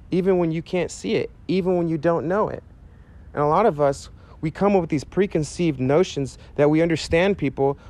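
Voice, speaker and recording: A man in his thirties preaching outdoors to a camera, earnest and animated, talking casually with fillers. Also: a little high. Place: outdoors by a chain-link fence on a sunny day. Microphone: handheld mic, medium camera shot.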